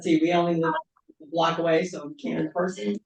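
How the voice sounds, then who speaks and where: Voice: medium pitch, fairly even intonation, a little fast. An older townsperson casually introducing themselves and reminiscing, with fillers. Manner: rambling, reminiscing. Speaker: meeting attendee. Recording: dull, echoey, far from mic.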